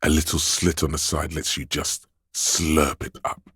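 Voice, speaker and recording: A man's voice: flat delivery, a little slow, a bit bright, slightly rough, no room sound, studio quality, full character voice. A man playing a seductive, indulgent inner voice of craving in a video game, narrating in the second person with a theatrical, coaxing, savoring delivery. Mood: savoring, hypnotic. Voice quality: very deep, resonant.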